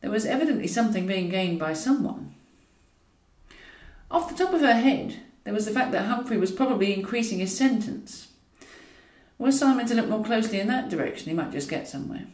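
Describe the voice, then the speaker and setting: A woman reading comic fiction aloud as a scripted audiobook, in a wry and expressive manner. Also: mid-range voice, brisk. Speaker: author reading own novel. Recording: roomy echo, distant from mic.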